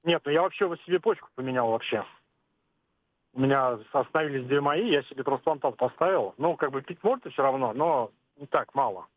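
Quick-talking man on a tinny phone line. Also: medium pitch, expressive, dry recording. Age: 40s. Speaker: radio phone-in caller. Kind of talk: casual, unscripted opinion. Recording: muffled narrowband phone line.

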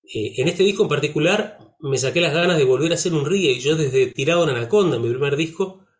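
A man's voice: on the high side, fast. Rioplatense-accented man, musician guest, reflective, easygoing chat. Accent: Rioplatense Spanish. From Uruguay. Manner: reflective, uses many fillers and tag questions. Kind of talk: relaxed conversational interview.

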